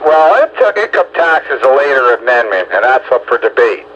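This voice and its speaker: A man's voice: mid-range voice, big pitch swings, brisk, fairly bright tone. An American man in a casual political discussion, opinionated and insistent, often stammering and repeating words as he makes his case. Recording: dull lo-fi radio audio, faint noise.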